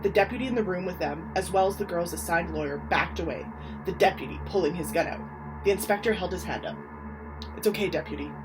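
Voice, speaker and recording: A woman's voice: on the low side, fast, over music, poor audio. A woman reading a scripted horror story aloud in a theatrical, suspenseful way. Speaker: author reading their own short story.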